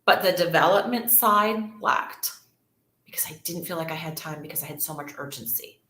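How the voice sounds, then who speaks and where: A woman's voice: low-pitched, normal speed, fairly bright tone, echoey room. A woman, a real estate agent chatting casually and upbeat to livestream viewers, repeating words for emphasis.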